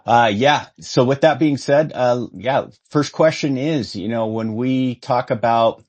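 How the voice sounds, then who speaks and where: A man hosting a podcast, asking his guests questions in a relaxed, casual way with many filler sounds. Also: medium pitch, dull audio. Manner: laid-back, many uh fillers, drawn-out questions. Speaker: podcast host. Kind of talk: casual interview.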